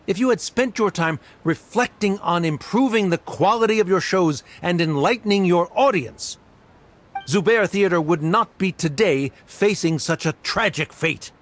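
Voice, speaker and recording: A haughty male scholar from a video game, played by a man, lecturing with pompous condescension. His voice sits on the high side and rises and falls with great emphasis. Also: natural voice. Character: haughty male academy scholar, stern debating opponent.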